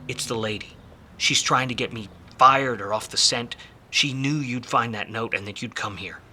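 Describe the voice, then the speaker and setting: A man acting a wry, smooth-talking hard-boiled private detective in a 1940s-style noir radio play. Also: medium pitch, moderate pace, bright, forceful tone. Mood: urgent, persuading.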